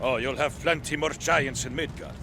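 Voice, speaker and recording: An actor voicing a nervous, excitable little dwarf in a video game cutscene, jittery and animated. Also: male voice, mid-range voice, moderate pace, noisy background, natural voice. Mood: chipper.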